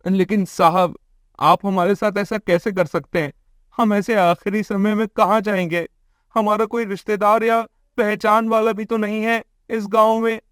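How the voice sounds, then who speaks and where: A man's voice: high-pitched, flat delivery, measured pace, a bit bright, no echo, clean studio sound, voice pushed up. Man playing a plaintive poor family man. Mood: anxious, pleading. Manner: earnest, plaintive. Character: poor, distressed, pleading young family man. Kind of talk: Hindi cartoon moral story.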